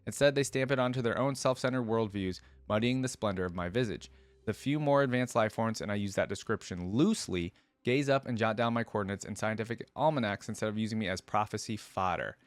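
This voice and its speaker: A man's voice: on the low side, a little fast, dry recording. A man giving reflective reaction commentary and reading game lore aloud in a casual style.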